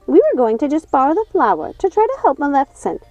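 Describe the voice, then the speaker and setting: Shrill, frantic witch sister. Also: big pitch swings, quick pace, darkish tone, dry recording. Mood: pleading. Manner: shrill, repetitive pleading. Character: frantic, pleading wicked witch sister, fretful and wheedling. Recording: dull lo-fi sound, music underneath.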